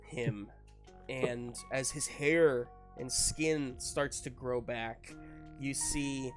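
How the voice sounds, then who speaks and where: A game master improvising the narration of a tabletop game in a halting, searching way. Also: medium pitch.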